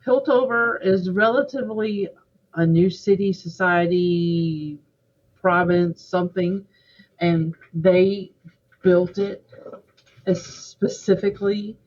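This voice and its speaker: A woman with a husky voice co-hosts a reaction show in casual talk, working out her thoughts aloud. The sound is slightly dull. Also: on the low side, steady tone, takes it slowly, darkish tone.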